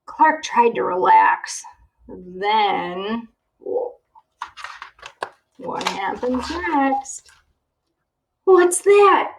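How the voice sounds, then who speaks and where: A woman's voice: mid-range voice, expressive, drawn-out words, creaky, long pauses, low-quality recording. A woman reading a children's storybook aloud like a teacher, expressively, checking in with the young listener through questions.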